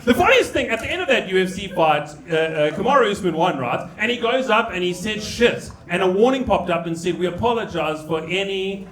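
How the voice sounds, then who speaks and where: A man's voice: high-pitched, lively intonation, fairly quick, hardly any pauses, fairly bright tone. A man with a South African accent performing stand-up comedy on stage. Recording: echoey live comedy club, audience noise. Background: live audience.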